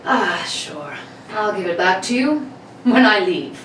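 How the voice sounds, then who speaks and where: A woman's voice: mid-range voice, animated, unhurried, a bit bright. A woman playing a cocky male burglar of about thirty in an old-time radio play reading, gloating and mock-courteous. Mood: smug, boastful. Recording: roomy, distant mic, background noise.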